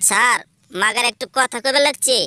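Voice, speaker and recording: A woman's voice: a little high, fairly even intonation, a little fast, bright, forceful tone, dry recording. A woman voicing a cheeky cartoon schoolboy who peppers his speech with odd slang words. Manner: cheeky, mixing dialect words.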